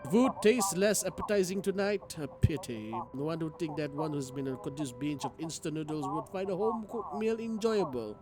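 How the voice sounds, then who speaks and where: A man's voice: on the high side, brisk, few pauses. A man streaming a game, reading its text aloud dramatically and reacting as he goes. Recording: guitar game music behind the voice.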